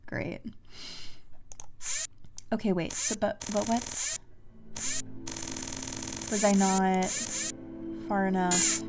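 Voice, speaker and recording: A woman's voice: mid-range voice, wildly swooping pitch, very slow, pauses often, low-quality recording. Woman thinking aloud while gaming, music behind. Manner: hesitant, self-questioning muttering. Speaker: video game streamer playing a puzzle adventure. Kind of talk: casual gameplay commentary, thinking aloud.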